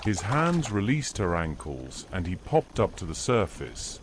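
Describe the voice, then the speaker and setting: A man's voice: low voice, big pitch swings, measured pace, heavy background noise. A man narrating the scene in scripted, descriptive storytelling.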